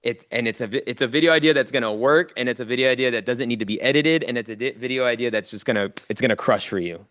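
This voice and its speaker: A man's voice: medium pitch, fast talker, hardly any pauses, fairly bright tone, no room sound, clean studio sound. A man giving a motivational coaching talk to a live online audience, speaking informally and directly to listeners and repeating key phrases for emphasis.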